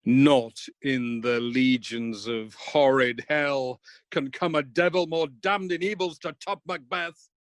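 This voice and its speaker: A man's voice: medium pitch, steady tone, slow, dry recording. A man playing a loyal, earnest and patriotic nobleman in a Shakespearean radio play, with declamatory verse delivery. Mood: defiant.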